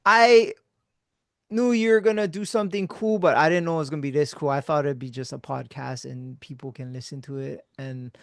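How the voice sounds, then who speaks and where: A man hosting a podcast, chatting casually with a friend in a relaxed, laid-back way, swearing freely and trailing off into quiet mumbles. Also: on the high side, brisk, creaky, no echo, studio quality. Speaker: podcast host.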